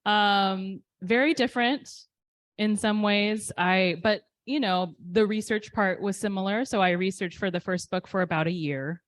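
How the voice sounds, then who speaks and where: A woman's voice: medium pitch, unhurried, no echo. A woman in her thirties, a poet answering audience questions in a candid, self-deprecating way with frequent ums. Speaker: poet guest. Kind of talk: casual interview answers.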